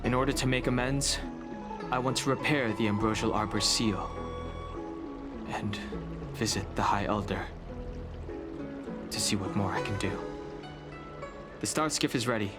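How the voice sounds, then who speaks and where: A man acting as a stoic young exile with a lowish voice. He talks very slowly, reserved and serious, as someone burdened by his past. It is dramatic game dialogue with music playing clearly behind him. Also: lightly acted. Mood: resolute.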